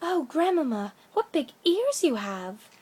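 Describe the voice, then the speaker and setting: An innocent little fairy-tale girl acted out by a woman speaks in a high, wide-eyed voice. The words are emphatic and full of childlike curiosity. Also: very animated, measured pace, darkish tone. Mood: astonished.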